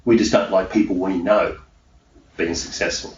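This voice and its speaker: A man's voice: low voice, steady tone, moderate pace. A middle-aged man with an Australian accent talking conversationally as a motivational podcast host, emphatic and fond of rhetorical questions. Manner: emphatic, poses rhetorical questions and answers them. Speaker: podcast host and mindset coach. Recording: echoey room, muffled, noisy background.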